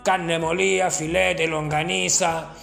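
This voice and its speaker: A man's voice: a little high, little pitch movement, slow, gravelly, fairly bright tone, faint music behind, heavily acted. An actor playing a rascally old Chilean working man and former gravedigger, tipsy, with a Chilean accent, telling folksy slangy boasts. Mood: boastful. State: tipsy from drinking. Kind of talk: comic character interview.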